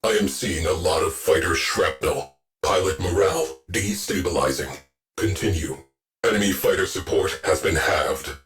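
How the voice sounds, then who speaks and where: A man voicing a terse, stoic military ship AI in a video game, with a clipped, deadpan tactical delivery and a dry protective streak. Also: deep voice, normal speed, music in the background, natural voice. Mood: controlled, approving. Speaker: ship tactical AI announcing combat. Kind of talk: scripted video game voice lines.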